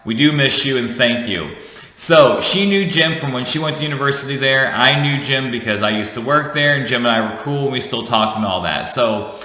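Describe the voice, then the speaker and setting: A man's voice: medium pitch, normal speed, pushed and bright. A man in his thirties telling a personal story to his viewers in a chatty, jokey way, correcting himself as he goes. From United States. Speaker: vlogger. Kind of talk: casual storytelling to camera. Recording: echoey room with long reverb.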